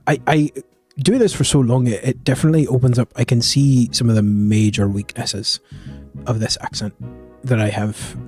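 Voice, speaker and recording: Male streamer, mid-pitched, rambling casual chat in an assumed Scottish accent, soft music underneath. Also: no room sound. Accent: put-on Scottish, a mix of Glaswegian and Edinburgh. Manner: rambling, with many fillers and self-corrections. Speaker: live streamer.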